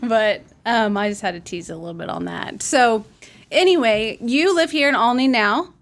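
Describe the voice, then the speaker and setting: A woman hosting a casual interview. Her voice sits a little high as she asks friendly, chatty questions, often with a giggle close behind. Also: moderate pace. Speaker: host.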